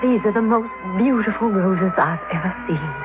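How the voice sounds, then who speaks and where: An actress playing a gentle, credulous bereaved mother, a fussy middle-aged housewife, in a 1950s radio drama. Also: medium pitch, normal speed, over music, lightly acted. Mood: gentle, wistful. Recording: tinny, noisy old radio recording.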